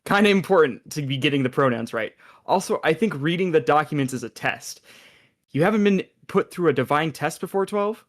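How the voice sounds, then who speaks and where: A man playing an excitable, overthinking secret agent who explains things emphatically in a comedy radio play read. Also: fairly high pitch, fairly quick, close to an everyday voice. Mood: earnest, insistent.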